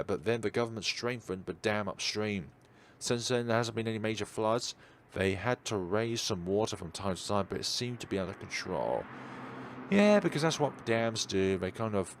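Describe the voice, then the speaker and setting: A man with a lowish voice reads visual novel narration aloud. His pitch moves around a lot, and he drops in casual remarks and corrects small slips. There is clear noise behind him. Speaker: game player reading a visual novel aloud.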